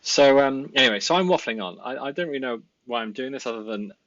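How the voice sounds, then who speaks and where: A man's voice: medium pitch, animated, quick pace, a bit bright, dry recording, muffled recording. A Welsh man in his thirties, a designer and part-time founder, talking casually about himself with lots of fillers and restarts. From Wales. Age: 30s. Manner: rambling, many fillers and restarts. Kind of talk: casual self-introduction vlog. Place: indoor home room at a desk.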